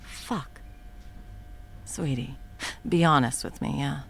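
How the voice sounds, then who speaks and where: A woman's voice: very deep voice, takes it slowly, vocal fry, slight background noise, exaggerated voice. A woman in her thirties voicing a profane, blunt woman supervillain in an audio roleplay, mocking at first and then coaxing with pet names. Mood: tender. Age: 30s. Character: sharp-tongued woman supervillain, long-time arch-enemy.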